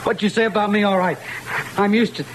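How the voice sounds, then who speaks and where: Guy playing wound-up working-class husband, high voice, defensive, old radio play with some hiss. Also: fairly even intonation, fairly quick, natural voice. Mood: shaken, defensive. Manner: agitated, pleading, defensive. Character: aggrieved, hot-tempered working-class husband and son. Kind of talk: old-time radio drama acting. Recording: noisy old-time radio recording.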